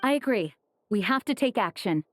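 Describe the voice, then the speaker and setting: A woman voicing an earnest, dutiful female leader in a video game, formal and slightly high-strung. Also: expressive, moderate pace, a bit bright, slight background noise. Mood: resolute. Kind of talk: animated game dialogue.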